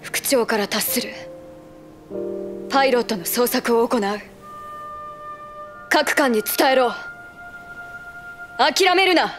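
Earnest naval executive officer, highish voice. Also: female voice, expressive, music in the background. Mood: urgent resolve. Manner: earnest, measured, formal. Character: principled, conscientious naval second-in-command. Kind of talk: Japanese military audio drama acting.

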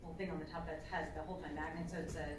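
A chatty woman explains droid building on a panel. Her voice sits a little low and carries many fillers. It is heard from across a large, echoey convention room with noise in the background. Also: flat delivery, racing. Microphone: table mic recorded by a far camera in the audience. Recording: echoey hall from far off.

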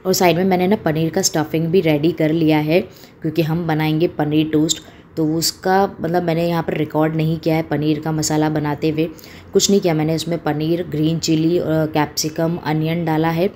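A woman's voice: deep voice, little pitch movement, moderate pace, mellow, a little hiss or hum. A woman in her thirties, a home cooking host, explaining a recipe step by step in a small home kitchen, in an easy, homely way with fillers. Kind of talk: casual recipe demonstration.

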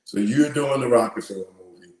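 A man's voice: mid-range voice, fairly quick. Big-voiced man in an echoey room. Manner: animated, slangy, acts out quoted voices. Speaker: commentary show host. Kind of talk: casual live commentary. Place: small room indoors. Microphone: wired earphone mic.

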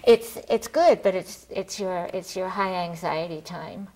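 A middle-aged woman chatting casually as an interview guest, talking in a halting way and circling back on her thoughts. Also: on the low side, a little mellow, low-quality recording. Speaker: theater director, talk show guest. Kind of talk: casual studio interview chat. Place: TV studio, seated at a table.

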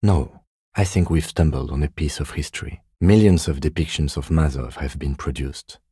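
Very deep, mellow, restrained police lieutenant. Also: male voice, breathy edge, no room sound, studio quality, exaggerated voice. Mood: calm, dry. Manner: measured, restrained, deadpan. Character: composed, dry, dutiful male police lieutenant detective. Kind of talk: video game dialogue acting.

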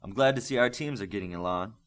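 A man's voice: on the low side, fast, natural voice. A man voicing a proud, eager male superhero team coach in an audiobook, chatty and emphatic. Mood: collegial.

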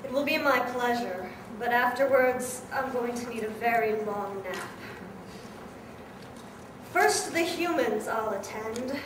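A woman's voice: a little high, takes it slowly. A woman playing a gleeful, mischievous trickster spirit who speaks in theatrical rhymes in a live radio play. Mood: playful, grand. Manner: theatrical rhyming incantations. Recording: echoey distant live hall recording with noise.

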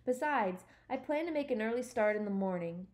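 A woman's voice: fairly high pitch, expressive, brisk, a little mellow, natural voice. A woman voicing a tiny, fussy male storybook adventurer who talks formally and with desperate, dejected drama. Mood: stiffly polite. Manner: trembling, desperate, formal phrasing.

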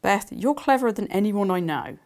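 A bubbly, warm-hearted schoolgirl from a storybook, voiced by a woman reading aloud. She sounds encouraging and kind. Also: mid-range voice, animated, normal speed, voice pushed up, close to an everyday voice.

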